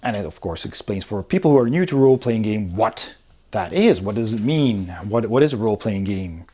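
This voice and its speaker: A man's voice: on the low side, expressive, brisk, warm tone, slightly rough. A man giving a casual, unscripted review commentary, explaining things step by step as he goes through a rulebook. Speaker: host of a board game review channel.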